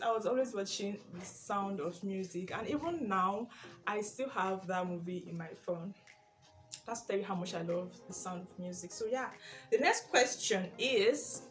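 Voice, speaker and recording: A young Nigerian woman with an Igbo first language, chatting casually through a question tag video in a lively, rambling way. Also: medium pitch. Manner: chatty and animated, with frequent fillers and laughs. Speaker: vlogger.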